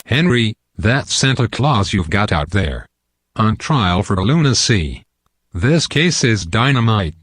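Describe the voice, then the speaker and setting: A man's voice: lowish voice, very animated, takes it slowly, a bit bright, slightly rough, no echo, studio quality, exaggerated voice. A man playing a shrewd, blunt political adviser and old friend in a radio play. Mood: urgent, persuasive.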